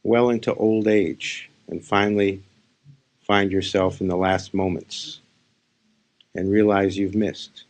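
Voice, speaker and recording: A man speaking as a spiritual teacher, leading a guided meditation in short, unhurried phrases with pauses. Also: low voice, steady tone, a little mellow. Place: live session.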